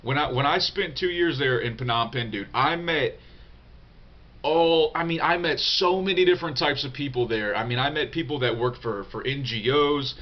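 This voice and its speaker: A man's voice: mid-range voice, normal speed, lo-fi sound. A young man with a congested, nasal voice from a cold, answering viewer questions in a loose, profane vlog with lots of fillers. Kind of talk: casual unscripted vlog talk.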